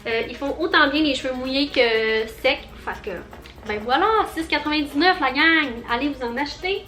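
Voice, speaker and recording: A young Quebec woman chats in a high, bubbly voice, rambling and filling her sentences with little fillers. Her voice rings a bit in an echoey room. Soft music plays quietly beneath her. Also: moderate pace. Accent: Quebec French. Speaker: vlogger. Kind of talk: casual haul vlog.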